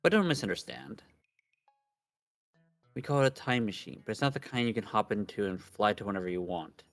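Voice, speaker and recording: A man's voice: lowish voice, animated, a little fast, fairly bright tone, soft background music. A man voicing a grandiose, pompous young self-styled mad scientist from a visual novel. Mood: boastful. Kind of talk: reading visual novel dialogue aloud.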